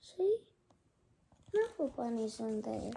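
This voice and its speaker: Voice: medium pitch, big pitch swings, slow pace, vocal fry, dark tone, slightly rough. A young child reading a picture book aloud expressively, acting out characters and adding asides. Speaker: storybook reader.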